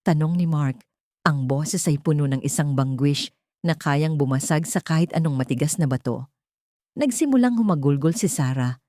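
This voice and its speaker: A woman reading a dramatic audiobook story, voicing every character herself in one steady storytelling voice. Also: sits low, normal speed, no echo, clean studio sound. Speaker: audiobook narrator. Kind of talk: scripted dramatic narration.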